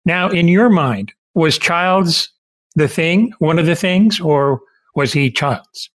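A man's voice: a little high, slow. A chatty, enthusiastic middle-aged or older man interviewing a guest in a casual way.

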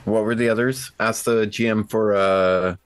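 A man's voice: lowish voice, fairly even intonation, a little slow, clean tone. A man playing a tabletop roleplaying game, chatting casually about his choices. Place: remote online call.

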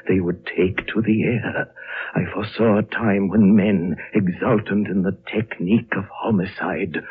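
An ancient holy sage in a vintage radio drama, very deep and husky. He speaks slowly and gravely, like a gentle prophet of doom, on a muffled old broadcast recording. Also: a little hiss or hum. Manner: solemn, measured, oratorical. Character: ancient wise High Lama, gentle, grave, foreboding prophet. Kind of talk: 1930s radio drama acting.

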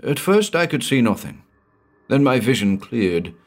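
A man voicing a big, strong man over sixty, hoarse and shaken with terror. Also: medium pitch, sing-song, unhurried, voice pushed up. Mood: frantic. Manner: frantic, pleading.

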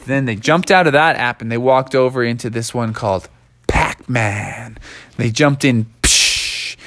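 A man's voice: medium pitch, a bit bright, no room sound. A man improvising a playful story for a child, adding sound effects as he goes.

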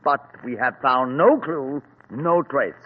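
A man's voice: mid-range voice, takes it slowly, slightly rough. An actor playing a stiff, proud male police inspector in a formal, slightly pompous manner, in 1940s radio acting. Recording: dull lo-fi old radio broadcast with faint hiss.

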